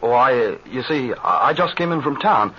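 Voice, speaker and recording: Man playing a hesitant mechanic, animated old radio. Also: mid-range voice, moderate pace, natural voice. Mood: evasive, uneasy. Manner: hesitant, evasive. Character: evasive, polite male new mechanic. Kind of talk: old-time radio adventure serial acting. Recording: dull, noisy old radio serial recording.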